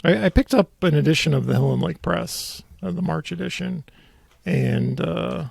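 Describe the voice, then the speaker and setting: Gravelly, dryly sarcastic man hosting a podcast. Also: a little high, animated, normal speed, no room sound. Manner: teasing, mock-serious, dryly sarcastic. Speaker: podcast host. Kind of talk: casual chat about local politics.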